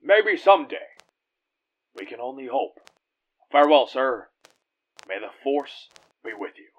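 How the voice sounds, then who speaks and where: A man's voice: mid-range voice, big pitch swings, a little slow, muffled recording. A man playing a bold, gallant space captain and vigilante hero in an audio drama, speaking in decisive, heroic commands. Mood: gentle, noble.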